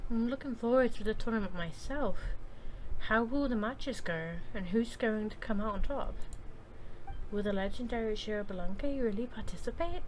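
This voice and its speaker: Girl streamer chatting over a game, kinda high voice, reactive slangy, bit of noise in the background. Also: normal speed, low-quality recording. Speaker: streamer playing a video game. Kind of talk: casual playthrough commentary, reading game text aloud.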